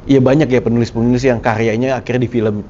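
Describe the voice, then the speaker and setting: A man's voice: mid-range voice, fairly even intonation, quick pace, dark tone, lo-fi sound. A man hosting a podcast in a casual interview style, chatty and often chiming in with agreement. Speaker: podcast host. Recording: clearly noisy background.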